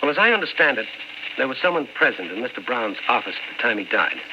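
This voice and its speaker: A man's voice: medium pitch, big pitch swings, quick pace, noisy background, lightly acted. Skeptical newspaperman played by an actor, muffled radio. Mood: probing. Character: skeptical, dogged newspaperman narrating his investigation. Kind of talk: old-time radio drama narration. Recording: muffled old radio recording.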